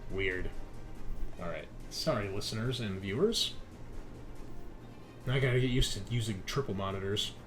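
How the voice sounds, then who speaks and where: A man's voice: on the low side, moderate pace, pauses often. A man running a tabletop roleplaying game as game master, talking informally and explaining rules. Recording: faint music behind.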